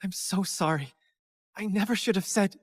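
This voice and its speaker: An actress playing a fiery, protective older sister, a young star student in an animated game film. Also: female voice, mid-range voice, moderate pace, close to an everyday voice. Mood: apologetic. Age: young adult.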